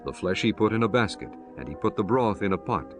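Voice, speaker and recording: Lowish-voiced male scripture narrator. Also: expressive, fairly quick, soft background music. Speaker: audiobook narrator.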